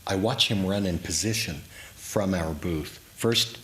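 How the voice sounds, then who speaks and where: A man giving a dramatic, reflective reading of his own memoir story, with deliberate pauses. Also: low voice, fairly bright tone. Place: indoors in a historic house, live reading. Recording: clearly noisy live room recording.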